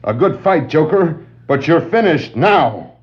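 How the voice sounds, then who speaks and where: An actor playing a steadfast masked crime fighter with firm confidence, in a 1960s superhero audio drama. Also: male voice, fairly high pitch, big pitch swings, measured pace, loud music behind the voice. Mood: confident, wry. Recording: dull old record, loud background noise.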